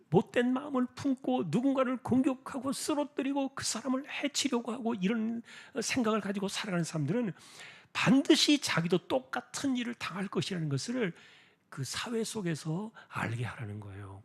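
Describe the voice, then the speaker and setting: Husky male preacher with animated, swinging pitch. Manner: explanatory, rhetorical questions, deliberate emphasis. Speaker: pastor preaching at a church prayer meeting. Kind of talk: expository sermon.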